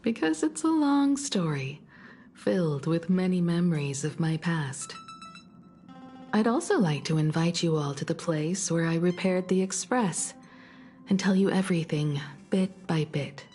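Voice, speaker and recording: Game role: composed woman navigator and mentor, lowish pitch, measured and tender delivery, music behind. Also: lively intonation, warm tone. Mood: tender, earnest. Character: composed, caring woman starship navigator, proud and nostalgic mentor. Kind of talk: video game story dialogue.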